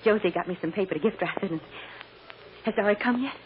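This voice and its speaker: An actress playing an anxious, doting mother on trial in a radio drama, halting and emotional. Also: mid-range voice, lightly acted. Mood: eager, anxious. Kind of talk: 1950s radio drama acting. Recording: dull noisy old radio recording.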